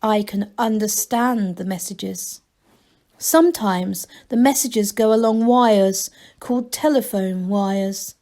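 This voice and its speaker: Woman acting a slow, deliberate talking computer. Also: mid-range voice, mellow. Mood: patient, literal. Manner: deliberate, literal, simple short sentences. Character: thinking computer box, literal, patient, simple-worded machine.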